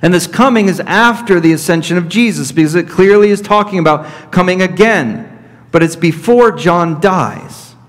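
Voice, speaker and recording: A man in his thirties, a pastor preaching an expository sermon from a pulpit, teaching deliberately and repeating his key points. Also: sits high. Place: church sanctuary at a pulpit. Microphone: fixed camera at middle distance. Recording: reverberant church hall.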